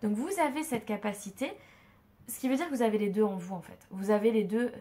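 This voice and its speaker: A woman's voice: medium pitch, a little fast, dark tone. A woman with a blocked nose from a cold, giving a tarot reading in a loose, hesitant way, full of fillers and restarts. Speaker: tarot card reader. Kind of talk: casual spoken tarot reading to viewers.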